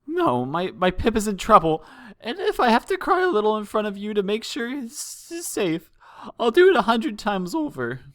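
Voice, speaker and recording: A distraught cartoon mother pony, acted out by a man reading a story. The voice is high and breathy, with a tremble and frequent stammers. It pleads tearfully and swings widely in pitch. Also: huge pitch swings, a bit bright, dry recording, studio quality, full character voice. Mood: desperate, pleading. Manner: halting, stammering through sobs. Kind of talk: fan fiction audiobook character voice.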